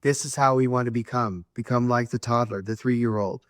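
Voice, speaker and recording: A man's voice: medium pitch, fairly even intonation, normal speed, a bit bright, no room sound. Earnest preachy man, spiritual talk. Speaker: co-host.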